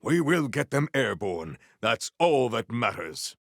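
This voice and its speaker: A proud video game warrior with a low, gravelly voice and stately, formal phrasing. He speaks slowly and deadpan, yet swings widely in pitch with grandiose flair. The sound is dry and close. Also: no room sound, exaggerated voice. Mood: brisk, resolute. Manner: formal, stilted, grandiose diction. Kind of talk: scripted video game character lines.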